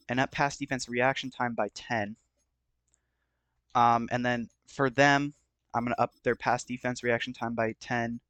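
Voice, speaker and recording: A man with a mid-pitched voice hosts a gameplay video in a casual, conversational commentary style. His voice is slightly hoarse, as if starting to give out. The recording is close and very dry, with almost no room sound. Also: a bit bright, dry recording. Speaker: gaming video host.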